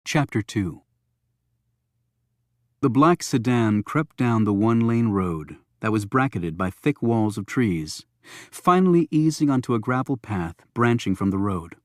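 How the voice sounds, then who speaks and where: Low-voiced male audiobook narrator, close and dry. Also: lively intonation, a little slow, no room sound. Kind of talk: scripted thriller audiobook narration.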